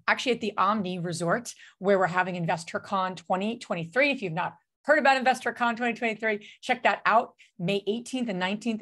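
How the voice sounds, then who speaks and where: A woman's voice: mid-range voice, a bit bright. A woman hosting a webinar over a video call, talking casually with many fillers and restarts. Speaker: webinar host.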